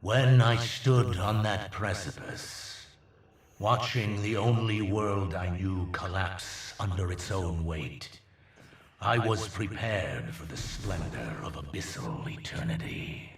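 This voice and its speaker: Deep, grandiose alien villain. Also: male voice, gravelly, roomy sound, recorded from a distance, heavily acted. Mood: reverent, fervent. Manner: grandiose, theatrical, deliberate, dropping into hushed emphasis. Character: proud, menacing alien conqueror devoted to his master. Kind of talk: dramatic video game villain monologue.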